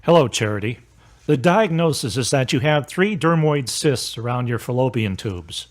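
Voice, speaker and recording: An actor plays a considerate doctor with a medium-pitched male voice. He explains in clinical, orderly terms, as in an audio drama. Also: animated, moderate pace, close to an everyday voice. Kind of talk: amateur audio drama dialogue.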